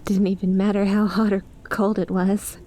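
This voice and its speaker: A woman in an ASMR roleplay voicing an affectionate young lamia best friend, softly and intimately, with some hesitation. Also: mid-range voice, level tone, moderate pace, slight background noise, lightly acted. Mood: cozy, fond.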